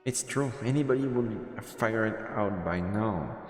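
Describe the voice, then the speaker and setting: Guy reading visual novel narration on stream, lowish voice, echoey with music behind. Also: moderate pace. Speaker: let's play streamer. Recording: roomy reverberant sound, music behind.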